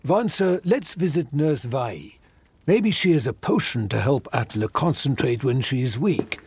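Very husky old mentor. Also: male voice, mid-range voice, expressive, unhurried, a little mellow, no room sound. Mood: stern, measured. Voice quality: husky, raspy. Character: old male mentor, stern, measured, magical teacher. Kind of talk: fantasy audio drama acting.

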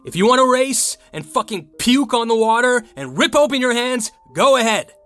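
A man's voice: very high-pitched, expressive, moderate pace, fairly bright tone, soft background music. An actor playing an earnest, conflicted young college rower in an audio drama, a young adult man with an American accent. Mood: angry. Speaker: lead character and narrator. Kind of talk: audio drama acting with first-person narration.

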